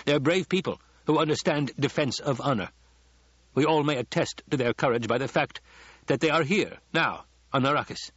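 Man playing a calm, precise, higher-pitched lecturing ecologist. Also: moderate pace, breathy edge, no room sound, lo-fi sound. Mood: formal, courteous. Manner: lecturing, precise, formally courteous. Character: calm, dangerous male ecologist lecturing diners. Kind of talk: audiobook character dialogue.

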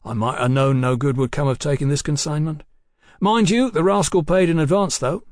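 A man's voice: on the high side, a little mellow, no echo, studio quality, pitched above the performer's own voice, lightly acted. A man voicing an easy-going, talkative working-class bill-sticking boss in an audiobook, with folksy, colloquial phrasing. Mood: businesslike, genial. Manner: folksy, unhurried, colloquial, garrulous.

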